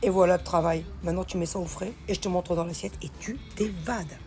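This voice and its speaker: A hoarse woman chatting familiarly as she cooks, calling the viewer pet names. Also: on the low side, steady tone, a little fast. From northern France. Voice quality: gravelly. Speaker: home cook. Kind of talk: casual cooking vlog chat. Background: background music.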